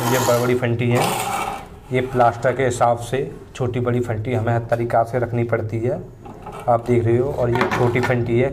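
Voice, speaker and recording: A man in his thirties talks through his mason's tools in a plain, unhurried way, his voice staying level with little rise and fall. It sounds like an outdoor phone recording with faint background noise. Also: mid-range voice. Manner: plain, unhurried explaining, pointing at each tool in turn. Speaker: mason and channel host. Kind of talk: casual tool demonstration. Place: paved courtyard outdoors, tools laid on the ground.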